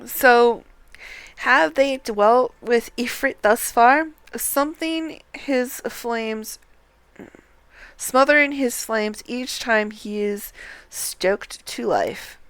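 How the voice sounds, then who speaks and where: A woman streams a game, reading its dialogue aloud in a smooth, fairly high voice. She goes slowly and a little haltingly, recorded close and dry. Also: a bit bright, no echo. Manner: deliberate, halting when reading.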